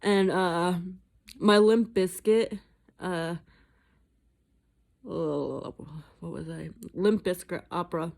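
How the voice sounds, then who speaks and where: A woman's voice: lowish voice, very slow, gravelly, pauses often, darkish tone. A woman vlogging at home in a casual, rambling chat, swearing freely and mocking herself. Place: indoors at home.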